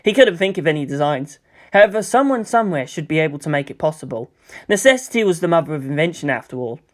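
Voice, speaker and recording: Guy reading a story out loud, audiobook narrator style, scripted prose. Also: fairly high pitch, expressive, fast talker. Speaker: narrator reading fan fiction aloud.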